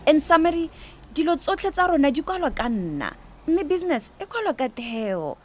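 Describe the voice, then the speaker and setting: An actress playing a confident young bride-to-be in a radio drama, speaking articulately with a Botswana accent. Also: female voice, high-pitched, sing-song, moderate pace, a little mellow. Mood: earnest, persuasive. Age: young adult. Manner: articulate, explanatory, code-switching. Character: confident young bride-to-be businesswoman, reasoning earnestly.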